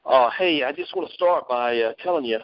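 A man's voice: medium pitch, a little fast. A man from Mississippi giving a folksy motivational talk as a guest on a phone call, repeating phrases for emphasis. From Mississippi, United States. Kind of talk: folksy storytelling pep talk. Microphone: phone conference call. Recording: dull, dry phone line.

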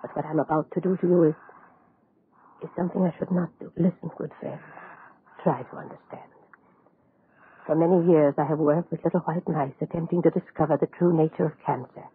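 An actress playing a solemn, anguished woman scientist in halting, dramatic 1930s radio horror style. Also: deep voice, fairly even intonation, lightly acted. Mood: solemn, confiding. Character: anguished woman doctor, a scientist afraid of her own experiment. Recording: scratchy, phone-like 1930s radio recording.

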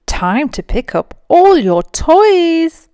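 A brisk mother llama from a picture book, put on by a woman reader. The voice is lifted high and swoops in a singsong way as it gives instructions. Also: sing-song, warm tone, no room sound, studio quality, heavily acted. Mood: calling out.

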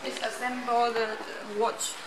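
Higher-voiced Chinese woman, halting English. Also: level tone, echoey room. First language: Mandarin Chinese. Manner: halting, brief answers in limited English. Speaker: sales manager guiding a tour. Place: open-plan office and small factory. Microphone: camera held nearby. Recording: clear office and factory noise.

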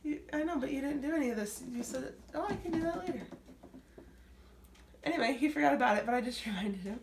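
A woman's voice: high voice, animated, quick pace, creaky, background noise. A woman in her thirties with an American accent, chatting to the camera while she renovates an unfinished laundry room, filmed on a phone. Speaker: vlog host. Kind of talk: casual home renovation vlog. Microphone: phone camera held at a distance. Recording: echoey room, distant phone mic.

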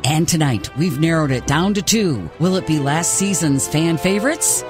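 An actor playing a bombastic, dryly funny reality show announcer in a video game. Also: male voice, on the high side, normal speed, close to an everyday voice. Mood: suspenseful. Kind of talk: showy television announcing. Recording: music playing behind the voice.

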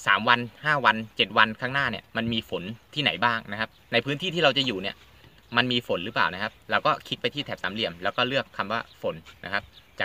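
Bright-voiced man explaining outdoors. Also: lowish voice, a little hiss or hum. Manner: polite, steady explaining with frequent polite particles. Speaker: vlogger explaining a weather app. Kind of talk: casual instructional talk to camera. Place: outdoors on a tractor in open farmland.

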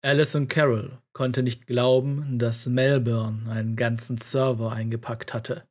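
A man's voice: medium pitch, level tone, unhurried, darkish tone. A man reading a scripted story as an audiobook narrator.

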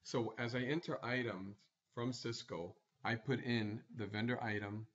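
A man presenting a screencast tutorial, walking through software setup step by step in a plain instructional manner. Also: mid-range voice, steady tone, measured pace, dull audio.

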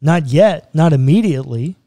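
A man in his thirties, a pastor, earnestly explaining a Bible passage step by step in a podcast conversation, close to a boom mic in a small studio. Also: animated, moderate pace, mellow, dry recording. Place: small studio room with bookshelves.

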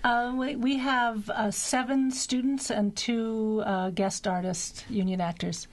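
Middle-aged woman explaining haltingly. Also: on the high side, fairly even intonation. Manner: halting, self-correcting explanations. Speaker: theater director, interview guest. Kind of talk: casual radio interview. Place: small radio studio. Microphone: boom-mounted broadcast microphone up close.

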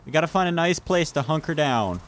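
A man's voice: on the high side, expressive, fairly quick. A man talking casually with friends while they play a multiplayer video game. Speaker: gamer playing with friends. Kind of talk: casual multiplayer gaming chat.

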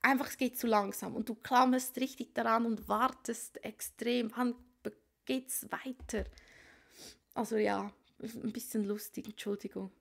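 A woman's voice: on the high side, fairly even intonation, a little slow, gravelly. A woman with a cold doing a casual tarot reading for viewers, musing aloud and often dropping to whispered asides. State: has a cold. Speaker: tarot reader. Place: small room at a card table.

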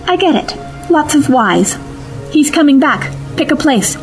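Woman acting a high-pitched, sarcastic young sidekick. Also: expressive, unhurried, soft background music, low-quality recording. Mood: brisk, wry. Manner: dry, sarcastic, exasperated. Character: sharp, sardonic young female time-travelling companion to the Doctor. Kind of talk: audio drama acting.

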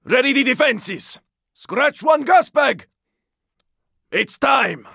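A battle-weary man fighting through a zombie apocalypse in a video game. His voice is pitched very high for a man and is sharp and tense, with a rough rasp. He throws out short, forceful callouts in a close, dry recording. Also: expressive, slow, dry recording, heavily acted. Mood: urgent, battle-ready. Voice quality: raspy, gravelly. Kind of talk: video game combat barks.

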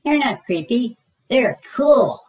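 A man's voice: very high-pitched, lively intonation, slow, darkish tone, roomy sound, voice pushed up, heavily acted. A man voicing an eager, boastful young boy rabbit in a whiny, childlike, insistent way, for a storybook. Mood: defiant.

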